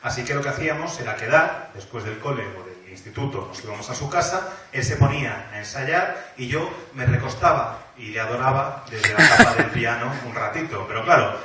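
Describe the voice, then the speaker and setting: A man from Spain with a bright, middle-pitched voice interprets colloquially and expressively at a live event. He sounds distant in a very echoey hall, with some faint noise. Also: fairly quick, few pauses. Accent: Spanish, from Spain. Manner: colloquial, expressive retelling with hesitations. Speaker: consecutive interpreter. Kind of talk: spoken interpretation of a guest's anecdotes in first person.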